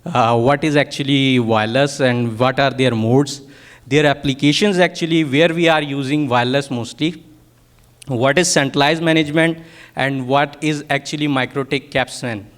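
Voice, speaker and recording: A man's voice: medium pitch, steady tone, normal speed. A man from Pakistan, a native Urdu speaker with a Pakistani accent, gives a technical workshop presentation as a product manager, often hesitating and searching for words.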